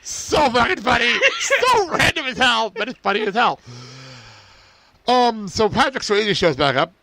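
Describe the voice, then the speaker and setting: A man's voice: extremely high pitch, sing-song, unhurried, creaky, pushed and bright, a little hiss or hum. A man co-hosting a podcast, casually recapping a cartoon episode in an informal, giggly, profane way. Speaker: podcast co-host.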